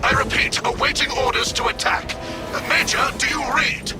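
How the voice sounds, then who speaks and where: A man's voice: extremely high pitch, steady tone, moderate pace. A man playing a strained army captain in a video game, urgent and clipped. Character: strained army captain calling over comms. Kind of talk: dramatic video game acting. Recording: loud music over the dialogue.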